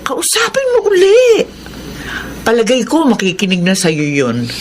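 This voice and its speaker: High-voiced, expressive neighbour woman. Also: a little slow, a little hiss or hum. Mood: confiding, scheming. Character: meddling neighbour woman, emotional wife and mother. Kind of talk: radio drama acting.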